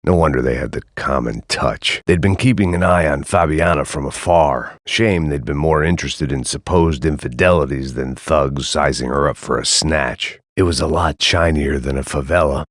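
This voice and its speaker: An actor playing a weary, cynical middle-aged male bodyguard, narrating his thoughts in a dry, sardonic deadpan. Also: very deep voice, normal speed, hardly any pauses, dry recording. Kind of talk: video game inner monologue narration.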